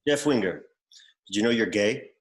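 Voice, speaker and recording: A man playing a pompous, deadpan executor who reads out bequests in a comedy script table read. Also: on the low side, moderate pace, close to an everyday voice. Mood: formal, solemn. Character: pompous male executor reading out an eccentric bequest.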